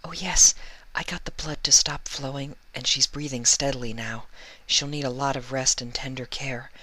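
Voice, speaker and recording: A man voicing a shy, gentle young female pegasus nurse from a cartoon fan story, soft and breathy with hesitant, timid speech. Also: medium pitch, steady tone, moderate pace, no room sound, pitched above the performer's own voice, rough recording. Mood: gentle concern. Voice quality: soft, breathy, half-whispered.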